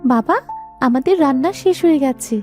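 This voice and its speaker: A woman voicing an earnest, dutiful young daughter in an animated fairy tale. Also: sits high, normal speed, soft background music, lightly acted.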